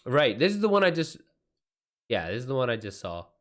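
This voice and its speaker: A man's voice: mid-range voice, big pitch swings, a little fast. A man talks casually as a livestream host, with a mid-range voice. His speech is informal and slangy, with swearing dropped in freely, as he chats with his viewers.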